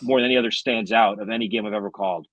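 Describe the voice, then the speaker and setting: A man's voice: fairly low pitch, fairly even intonation, racing, fairly bright tone, no room sound. A middle-aged American man, a former baseball radio announcer, telling stories conversationally as a podcast guest. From South Florida, United States. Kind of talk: conversational storytelling.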